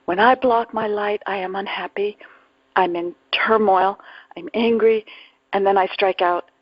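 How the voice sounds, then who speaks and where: A woman guides a spiritual meditation at a very slow pace, leaving long pauses between phrases. Her voice is breathy and soft-edged, sometimes sinking toward a whisper. The recording is dry and close, but dull and muffled. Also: medium pitch, steady tone, dry recording. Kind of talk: guided meditation, reflective spiritual talk with readings.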